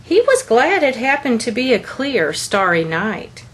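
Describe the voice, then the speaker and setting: Lady doing a kids book read aloud, really slow n deliberate, expressive, bit of a husky voice. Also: a little high. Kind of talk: scripted children's picture book reading.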